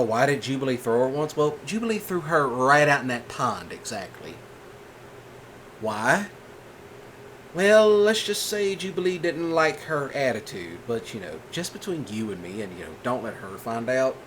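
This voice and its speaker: A soft-spoken rancher, voiced by a man, gentle and patient with his partner. His drawl is folksy and unhurried, at an ordinary male pitch. A faint hiss sits under the close, quiet ASMR voice. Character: calm reassuring male rancher boyfriend, gentle. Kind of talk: ASMR roleplay.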